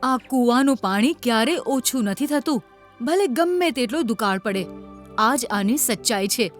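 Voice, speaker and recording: A woman's voice: fairly high pitch, animated, normal speed, faint music behind, lightly acted. A woman playing a proud mother and village headwoman in a children's cartoon. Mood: resolute. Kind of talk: Gujarati children's cartoon voice acting.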